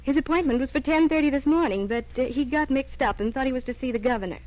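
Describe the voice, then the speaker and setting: Actress as high-voiced, officious switchboard receptionist. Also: quick pace, natural voice. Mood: businesslike. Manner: businesslike, offhand. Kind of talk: old-time radio drama acting. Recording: dull vintage radio recording.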